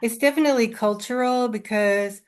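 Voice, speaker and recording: A woman's voice: on the high side, moderate pace, clear, resonant tone. A woman from the Midwest answering in a casual group discussion, thoughtful and a bit hesitant.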